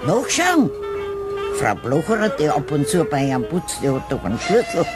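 A man's voice: on the high side, wildly swooping pitch, moderate pace. A man playing a worried, nosy caretaker in a radio play, insistent, with a Bavarian accent. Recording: music playing behind the voice.

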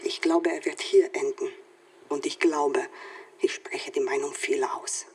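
An actress playing a subdued woman survivor giving her opinion in a hushed, breathy, hesitant way. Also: fairly even intonation, a little slow, recorded from a distance. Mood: subdued, grave. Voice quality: low, breathy, husky.

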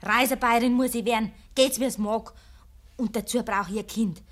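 An actress playing a scheming, headstrong and impatient young peasant maid in a 1950s Bavarian dialect radio drama. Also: female voice, on the high side, steady tone, normal speed, natural voice. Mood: urgent, pleading. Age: young adult. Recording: noisy vintage 1950s radio recording.

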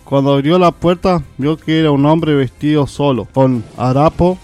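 A man's voice: a little high, moderate pace, darkish tone, faint music behind. A man reading scripted narration aloud as a narrator.

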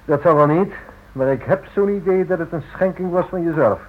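Man as brisk businessman on muffled recording. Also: a little high, lightly acted. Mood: wry, cordial. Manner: businesslike, matter-of-fact. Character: brisk, indifferent businessman dictating to his secretary. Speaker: actor. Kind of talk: radio drama acting. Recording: muffled old radio recording.

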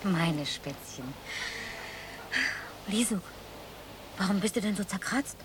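An actress playing an affectionate, weary peasant mother in a radio drama, speaking softly to her children. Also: medium pitch, animated, slow pace, very noisy. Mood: tender, concerned. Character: tender, weary peasant mother of two girls. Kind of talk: German radio drama acting.

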